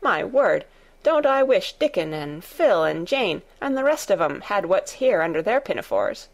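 A woman's voice: mid-range voice, big pitch swings, moderate pace, gravelly. A woman voicing a good-tempered, outspoken young Yorkshire maid with a broad, homely dialect, in a storybook reading. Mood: indignant.

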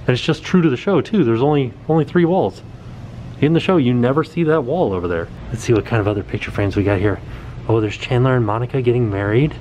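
A man in his forties excitedly pointing things out as he walks through a small indoor set, filmed on a handheld camera at arm's length. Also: medium pitch, fast talker, muffled recording, a little hiss or hum. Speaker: vlogger. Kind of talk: casual walkthrough vlog. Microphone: camera held at arm's length.

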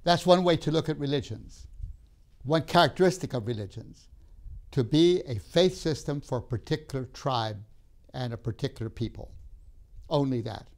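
An older man who grew up in Tanzania, giving a measured classroom lecture with emphatic pauses, recorded on a lapel mic in a studio classroom. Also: mid-range voice, expressive. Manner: measured, emphatic pauses, gestures while talking.

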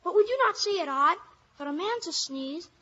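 A woman's voice: extremely high pitch, animated, normal speed, bright, forceful tone, exaggerated voice. A woman playing a cheeky, curious Irish village child in an old-time radio drama. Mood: solemn, knowing. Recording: dull old radio recording.

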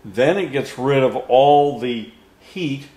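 Slow, halting older male instructor. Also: mid-range voice, warm tone. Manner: slow, halting, many fillers and restarts. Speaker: HVAC instructor. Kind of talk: unscripted technical lesson. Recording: roomy echo.

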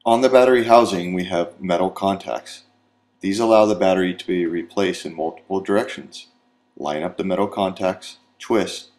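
A man's voice: low voice, darkish tone. An American man narrating a scripted training video as an instructor, in a methodical, step-by-step manner.

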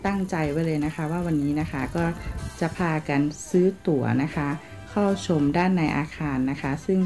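A woman from Thailand talks through a travel vlog in a polite, chatty way, each sentence ending softly. Her voice sits low, with faint music under it. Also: normal speed. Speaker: travel vlogger. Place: outdoors in a city, partly on a tram.

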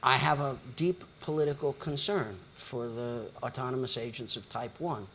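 A man's voice: mid-range voice, fairly even intonation, moderate pace, fairly bright tone, slight background noise. An older man moderating a panel discussion in a lecture hall, speaking in long, articulate, lecturing sentences.

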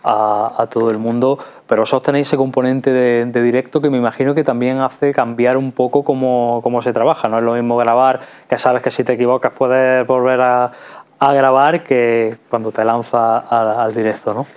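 A man's voice: medium pitch, level tone, moderate pace, darkish tone, smooth. A man in his thirties with a Spanish accent, conversationally interviewing as a TV host. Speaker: TV culture show host. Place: TV studio. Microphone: lapel mic.

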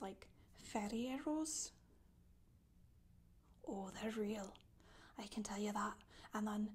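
Casting: woman as a gossipy, boastful witch for soft ASMR storytelling, breathy and mellow, often whispering. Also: fairly high pitch. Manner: rambling, gossipy, casual asides. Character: Scottish witch woman confessing, matter-of-fact, chatty, boastful. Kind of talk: soft-spoken ASMR character monologue. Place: small indoor room, seen seated.

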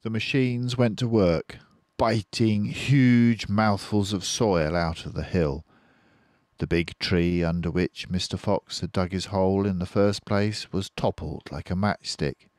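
An older man reading a children's book aloud as storyteller, unhurried and expressive. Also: deep voice, no room sound, studio quality.

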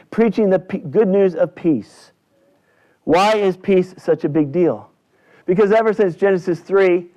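A male pastor delivering a church sermon in deliberate, emphatic short phrases with repetition. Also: sits high, mellow. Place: indoors in a church at a lectern. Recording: dull, slightly lo-fi church recording.

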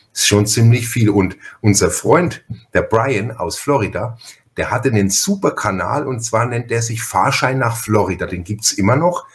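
Franconian guy hosting a podcast, lowish voice, folksy and chatty, repeats words as he goes. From Franconia, Germany. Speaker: podcast host, interviewer. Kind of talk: casual interview chat with storytelling.

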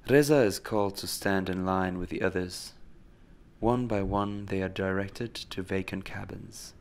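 A man narrating a scripted story in a measured, solemn way. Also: deep voice, fairly even intonation, gravelly. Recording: faint noise and ambience behind.

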